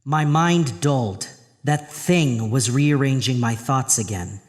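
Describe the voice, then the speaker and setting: A man playing the haunted narrator of a horror tale, reading in a grave, deliberate, intense dramatic style. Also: mid-range voice, darkish tone, big-room sound, close to an everyday voice. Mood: dark, dulled.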